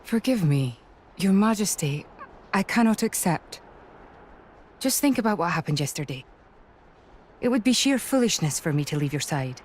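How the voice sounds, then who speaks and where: A woman's voice: fairly low pitch, very animated, normal speed, long pauses, a little hiss or hum. A woman playing a dutiful imperial inquisitor in a video game, speaking in a formal, earnest, restrained way. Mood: earnest protest. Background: string music.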